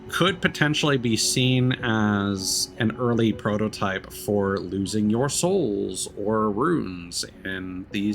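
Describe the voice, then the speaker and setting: A man's voice: fairly low pitch, vocal fry, fairly bright tone. A man giving casual video game commentary as a streamer, talking in a reflective, meandering and hesitant way. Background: background music.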